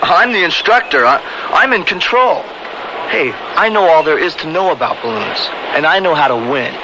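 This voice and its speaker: An actor playing a cocky, controlling young balloon instructor in a radio drama, brash and smooth-talking. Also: male voice, fairly high pitch, lively intonation, moderate pace, natural voice. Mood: confident, bossy. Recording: noisy old radio drama recording.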